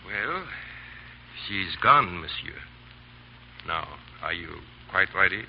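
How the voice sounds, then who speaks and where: An actor playing a cold, smug villain, a French Revolutionary agent, in formal, silkily polite 1930s radio acting. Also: male voice, low voice, big pitch swings, slow pace, creaky, full character voice. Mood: cold, gloating. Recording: muffled, noisy 1930s radio broadcast.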